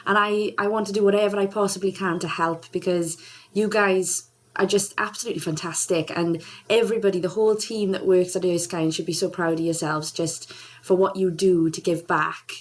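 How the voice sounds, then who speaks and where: A woman's voice: lowish voice, fairly even intonation, a little fast. A woman singer and charity ambassador chatting in an interview, bubbly and enthusiastic, laughing often as she talks. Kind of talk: casual interview chat.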